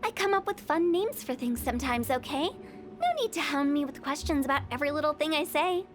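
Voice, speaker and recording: A woman's voice: high voice, animated, a little fast. A woman playing a playful, cheeky young funeral parlor director in an anime game, with a teasing, theatrical storytelling manner. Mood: cheeky, knowing.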